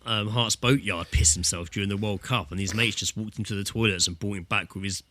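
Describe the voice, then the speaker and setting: A man's voice: lowish voice, a little fast. A British man co-hosting a casual podcast, telling sweary anecdotes and acting out quotes as he goes. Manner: sweary, animated storytelling with acted-out quotes. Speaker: podcast co-host. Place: remote online call from a dry-sounding room.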